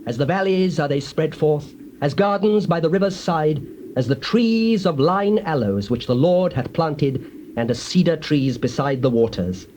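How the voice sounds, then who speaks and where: An actor playing a solemn, defiant prophet in a dramatized audio Bible, declaiming grand oracles like incantations. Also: male voice, on the high side, expressive, normal speed, darkish tone, lightly acted. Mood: solemn, prophetic. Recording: hissy old cassette tape.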